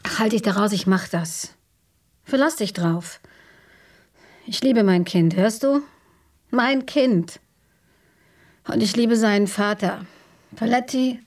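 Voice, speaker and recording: A brash, foul-mouthed young woman with a husky voice, blunt and defiant. She sounds set back from the mic in a radio drama. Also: mid-range voice, takes it slowly, pauses often, a little mellow. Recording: distant mic.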